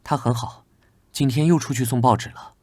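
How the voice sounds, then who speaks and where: An actor playing a gentle young knight investigator in an animated drama, polite and considerate. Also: male voice, mid-range voice, brisk, no room sound, lightly acted. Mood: courteous. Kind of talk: Chinese animated drama voice acting.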